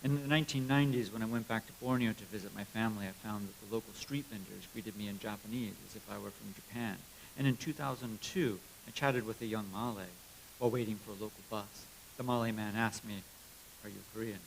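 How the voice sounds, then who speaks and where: A man's voice: fairly low pitch, fairly even intonation, fast, low-quality recording. A man working as an interpreter, reading a prepared translated speech aloud to an audience. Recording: clear background hiss and noise.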